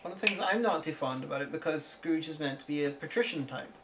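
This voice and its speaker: A man's voice: fairly high pitch, fast, background noise. A man co-hosting a podcast, chatting casually with dry, teasing asides. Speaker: podcast co-host. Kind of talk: casual chat.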